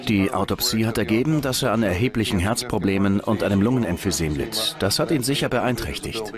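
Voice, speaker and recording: A man's voice: on the low side, expressive, a little fast, close to an everyday voice. A man playing a matter-of-fact forensic pathologist in a true-crime audio drama, clinical and precise. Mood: clinical, factual. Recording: voice under loud music. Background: loud music.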